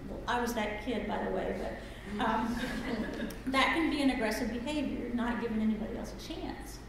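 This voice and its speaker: A woman's voice: a little high, normal speed, creaky. A woman speaking at a women's seminar, teaching in an engaging, anecdotal way with self-deprecating humor. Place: seminar meeting room. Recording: very echoey room with background noise.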